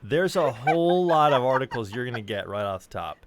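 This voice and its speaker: A man hosting a casual livestream, bantering in an expressive, jokingly appalled way. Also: medium pitch, fairly quick. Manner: expressive, mock-horrified joking. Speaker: livestream host. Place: remote online call. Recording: very noisy call with clicks.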